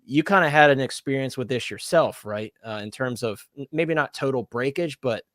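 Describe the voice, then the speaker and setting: A man's voice: medium pitch, moderate pace, a bit bright, dry recording. Dry-sounding man hosting a toy chat. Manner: earnest, hedging, many fillers. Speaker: podcast host. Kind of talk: casual collector podcast chat.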